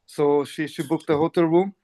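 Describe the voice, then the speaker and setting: Chatty man, higher voice. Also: fairly even intonation, moderate pace, dark tone. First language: Turkish. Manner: rambling, many fillers and restarts, laughs often. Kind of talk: casual chat.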